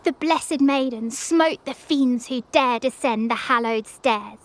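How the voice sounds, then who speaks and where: An actress playing an earnest young storyteller in a video game, theatrical and exacting about how things are delivered. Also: female voice, high-pitched, a little slow. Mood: solemn, dramatic. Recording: faint music behind the voice.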